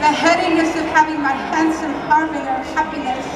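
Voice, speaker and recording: A woman's voice: high-pitched, steady tone, fairly quick, lightly acted. A woman playing a lovestruck, gushing young maiden in live stage melodrama. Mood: swooning. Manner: breathless alliterative gushing melodrama. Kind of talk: live melodrama reader's theater. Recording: echoey, distant, loud crowd noise.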